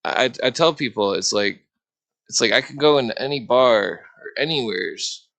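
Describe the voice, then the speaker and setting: A man's voice: medium pitch, lively intonation, moderate pace, creaky, a bit bright. A man chatting casually on a livestream, telling anecdotes in a rambling way with repeated phrases. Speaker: livestream talker. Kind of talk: casual chat.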